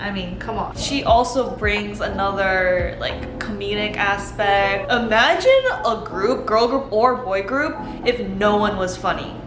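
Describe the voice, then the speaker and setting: A woman's voice: medium pitch, unhurried, hardly any pauses. A young woman vlogger chatting casually and enthusiastically, peppering her talk with "like". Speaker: vlogger reviewing a TV show. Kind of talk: casual chat. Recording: roomy echo with background music. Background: soft background music.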